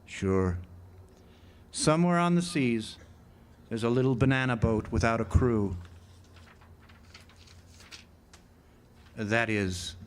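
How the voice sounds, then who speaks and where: An actor playing a haunted, nervous lighthouse keeper in an old-time radio drama monologue, halting and repeating words between dramatic pauses. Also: male voice, medium pitch, expressive, slight background noise, lightly acted. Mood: uneasy, evasive. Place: live amphitheater stage performance.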